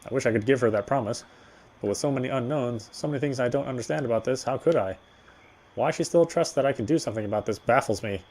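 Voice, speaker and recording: A man reading the first-person narration of a horror visual novel in a measured, brooding way. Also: mid-range voice, a little mellow. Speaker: reader.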